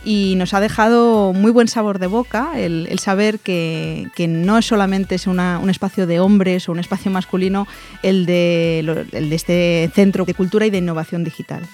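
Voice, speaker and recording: A woman's voice: fairly low pitch, steady tone, moderate pace, a little mellow, soft background music. A woman co-hosting a radio program, presenting in a conversational way with small restarts. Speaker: radio show co-host.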